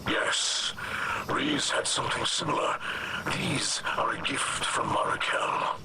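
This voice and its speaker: A man voicing a grave, weary alien leader in a video game cutscene, speaking in a hushed, breathy whisper. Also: medium pitch, animated, takes it slowly. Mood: solemn. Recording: game audio under loud noise.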